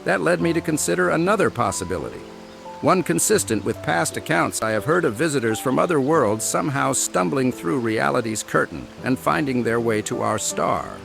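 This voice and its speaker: Gravelly, shrewd minstrel. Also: male voice, medium pitch, sing-song. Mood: thoughtful, wry. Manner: deliberate, storytelling, wry. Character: shrewd, well-travelled male minstrel, thoughtful and articulate. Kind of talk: scripted video game voice acting. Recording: studio voice under game music.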